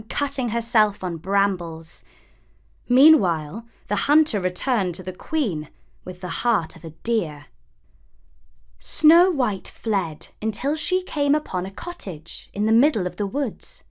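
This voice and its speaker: Slow, expressive woman storyteller. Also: mid-range voice. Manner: expressive, deliberate. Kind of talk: scripted children's fairy-tale narration.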